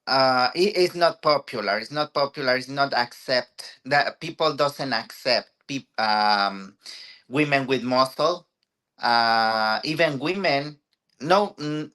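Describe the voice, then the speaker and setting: A woman with a Mexican accent and a low voice, a native Spanish speaker, giving casual, halting interview answers as a guest. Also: a bit bright. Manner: halting, non-native English with repetitions. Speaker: interview guest, bodybuilder and trainer.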